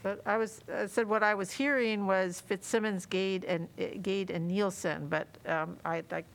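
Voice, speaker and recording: A woman's voice: mid-range voice, steady tone, moderate pace, creaky. A woman council member in a public meeting, speaking hesitantly and tentatively as she sums up the discussion.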